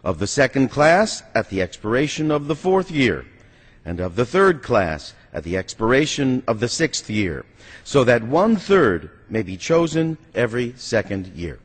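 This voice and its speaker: Animated, husky American man in echoing hall. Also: medium pitch, normal speed. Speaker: US congressman reading aloud. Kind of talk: formal read-aloud of a legal text. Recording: reverberant legislative chamber.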